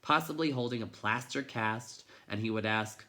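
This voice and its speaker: Bright-voiced man reading a storybook dramatically. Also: mid-range voice, moderate pace. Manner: dramatic, shifting from hushed to intense. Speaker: teacher reading a storybook aloud. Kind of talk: expressive children's book read-aloud.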